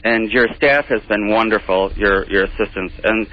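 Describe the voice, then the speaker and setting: A man arguing his case as a guest in an unscripted radio debate, defensive and halting, often repeating phrases. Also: fairly low pitch, slight background noise. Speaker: interview guest, pageant organizer. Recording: dull, lo-fi radio recording.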